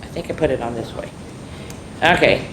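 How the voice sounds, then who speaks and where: A woman's voice: normal speed, a bit bright. A woman hosting a children's story time, reading a picture book expressively, drawing out words and adding casual asides. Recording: echoey room with faint rumbling noise.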